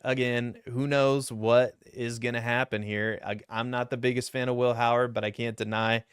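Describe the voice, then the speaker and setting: A young man with a deep voice that now and then cracks high, casually talking through a fantasy football mock draft with rambling asides. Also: flat delivery, moderate pace, smooth, dry recording, studio quality. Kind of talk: solo mock draft commentary.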